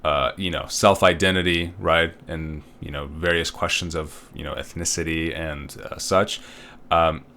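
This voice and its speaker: A man's voice: low voice, a bit bright. A man in his thirties, a college instructor from Uzbekistan, walking students through an online course in a casual, rambling way, full of fillers and checking-in tags. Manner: casual, rambling, with many fillers and tag questions. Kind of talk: recorded online course walkthrough.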